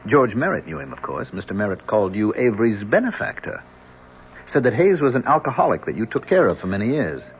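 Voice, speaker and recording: A dull, slightly noisy old radio recording of an embittered young man. His low voice has cool menace and sardonic courtesy, in old-time radio drama style. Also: big pitch swings, fairly quick. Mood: bitter, accusing. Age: young adult.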